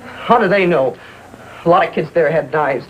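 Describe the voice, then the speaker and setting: A man's voice: fairly high pitch, normal speed, natural voice. An American man playing a defensive seventeen-year-old gang youth in a 1950s TV drama, curt and argumentative. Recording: muffled, faintly noisy vintage TV soundtrack.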